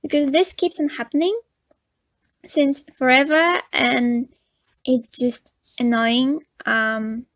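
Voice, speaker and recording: A woman's voice: high-pitched, fairly even intonation, slow pace, fairly bright tone, dry recording. A young woman with a Polish accent giving frank, chatty commentary over a drawing video, with nervous laughs and many fillers. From Poland. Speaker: commentary YouTuber.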